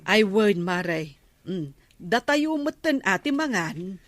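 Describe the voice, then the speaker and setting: A woman's voice: mid-range voice, sing-song, takes it slowly, crowd noise. An actress playing an easygoing village woman in an Ilocano radio comedy drama, with an Ilocano accent. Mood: agreeable.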